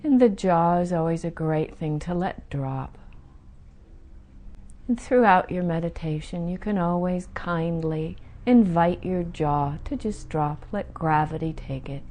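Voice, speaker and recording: A woman guiding a meditation, giving soothing, gentle instructions with unhurried pauses between phrases. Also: fairly low pitch. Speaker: meditation teacher guiding a session. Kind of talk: guided meditation.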